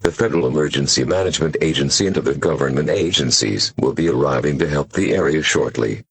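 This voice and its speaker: An extremely deep male voice with a husky grain, recorded dry. He delivers a formal emergency bulletin, measured and impersonal. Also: dry recording. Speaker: emergency alert announcer. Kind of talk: formal scripted government bulletin.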